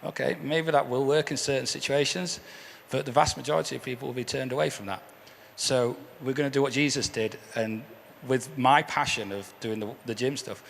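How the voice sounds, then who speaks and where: A man with a hoarse, croaky voice gives an earnest church testimony as a guest speaker, often repeating words as he restarts. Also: medium pitch, a bit bright, slight background noise. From England. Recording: echoey hall with faint ambience.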